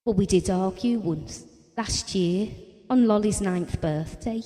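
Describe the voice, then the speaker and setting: A woman's voice: mid-range voice, a little slow, mellow, soft background music, close to an everyday voice. A woman acting a wistful, devoted wife of about forty in an audio drama, telling her story in a reflective, intimate way. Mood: bitter, hurt. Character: Ellen, wistful, devoted wife around forty, quietly wounded. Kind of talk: theatrical audio drama monologue and dialogue. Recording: long hall-like reverb.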